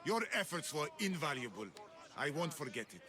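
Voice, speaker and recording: An actor playing a ruthless, proud Spartan general in a video game, speaking in a boastful, curt, imperious way. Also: male voice, on the high side, sing-song, normal speed, crowd noise. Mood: stern, confident. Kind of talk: scripted video game acting.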